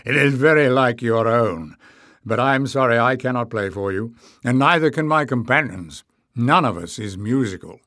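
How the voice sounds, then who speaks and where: A man voicing a gruff, shrewd male adventurer in an audiobook, wry and growling. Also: mid-range voice, lively intonation, normal speed, a bit bright, no room sound, studio quality, natural voice. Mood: amused, apologetic. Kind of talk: acted audiobook dialogue.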